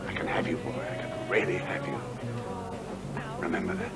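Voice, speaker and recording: An actor playing a middle-aged senior military officer in a drama, condescending and pompous, with deliberate, patronising phrasing. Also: male voice, a little high, big pitch swings, over loud music. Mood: cold menace. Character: condescending senior military officer, falsely jovial, menacing man. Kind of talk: dramatic acting. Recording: clear background noise.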